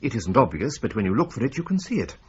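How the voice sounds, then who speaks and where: An actor playing a precise, wry accountant who explains figures step by step in a radio drama. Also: male voice, medium pitch, sing-song, fast, lightly acted. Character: dry precise male accountant. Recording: dull lo-fi audio with faint hiss.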